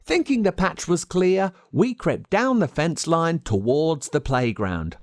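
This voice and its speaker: An Australian man reading a scripted children's story as narrator, with dramatic, expressive delivery. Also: on the high side, measured pace, dry recording, studio quality. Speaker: audiobook narrator.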